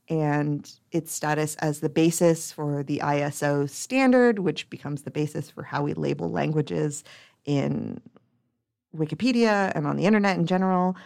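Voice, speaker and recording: A woman's voice: low-pitched, gravelly, darkish tone. A woman co-hosting a podcast, explaining at length in casual chat and restarting sentences as she thinks aloud. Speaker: podcast co-host.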